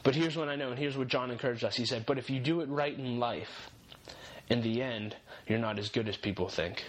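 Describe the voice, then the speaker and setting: A man's voice: medium pitch, brisk, poor audio. Earnest young man giving faith-based encouragement. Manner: earnest, encouraging, repeats key phrases for emphasis. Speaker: podcast host.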